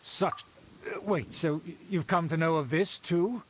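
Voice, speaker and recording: An actor playing a haughty, condescending aristocrat in a video game, speaking in a formal, grandiose manner. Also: male voice, on the high side, unhurried. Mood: pleased, formal. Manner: formal, grandiose, condescending, flustered when slipping. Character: pompous, haughty aristocrat of a faded noble clan.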